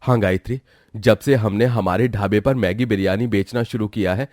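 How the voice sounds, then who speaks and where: A man's voice: on the low side, steady tone, moderate pace, a little mellow, no room sound, clean studio sound, close to an everyday voice. A man voicing an earnest village dhaba owner. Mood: concerned. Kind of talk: cartoon voice acting.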